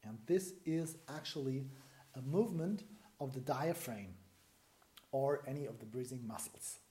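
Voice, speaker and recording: A man's voice: mid-range voice, a little slow, mellow. A man in his forties with a German accent, a breathing coach explaining a method to camera in a small room, thinking aloud with restarts and fillers. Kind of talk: explanatory video lesson to camera. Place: small indoor room at home.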